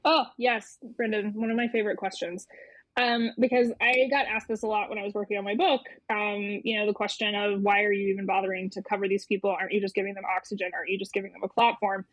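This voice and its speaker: A woman's voice: medium pitch, level tone, quick pace, dry recording, muffled recording. A woman speaking as an interview guest, an editor explaining things in a casual, thoughtful, conversational way with some emphatic repetition.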